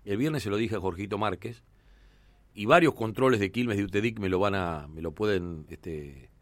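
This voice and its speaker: Argentine guy hosting sports radio, lowish voice, dry close studio sound, lots of eh and este. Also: dry recording. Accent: Argentine Rioplatense Spanish. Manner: conversational, fills pauses with "eh" and "este". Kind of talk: sports radio talk and commentary.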